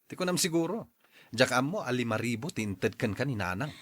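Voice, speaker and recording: A man's voice: medium pitch, lively intonation, fairly quick, a bit bright. A man playing a hard-headed, resentful husband and son in an Ilocano radio drama, with a combative, sneering manner. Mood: sullen.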